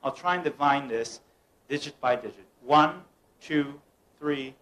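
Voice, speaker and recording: Young man, mentalist act, playful and teasing, somewhat high-pitched, faint background noise. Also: unhurried, fairly bright tone, poor audio. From United States. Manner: playful, teasing, directs audience. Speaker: mentalist performer. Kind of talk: live stage mind-reading act. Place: room at a home gathering.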